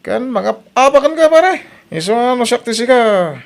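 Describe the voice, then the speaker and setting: A man's voice: very high-pitched, lively intonation, normal speed, creaky, full character voice. A male actor playing a troubled, self-pitying husband who drinks, in an Ilocano radio drama, speaking with an Ilocano accent. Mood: tipsy, boastful.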